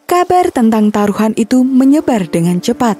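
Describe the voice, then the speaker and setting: A woman's voice: fairly high pitch, big pitch swings, moderate pace, mellow, faint music behind. A woman narrating a scripted children's story as storyteller.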